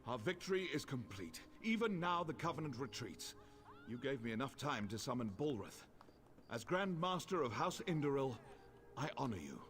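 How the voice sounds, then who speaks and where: A man's voice: mid-range voice, sing-song, moderate pace, fairly bright tone, soft background music. A man playing a commanding summoner and house leader in a fantasy video game, speaking in a formal, declamatory way. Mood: proud, solemn.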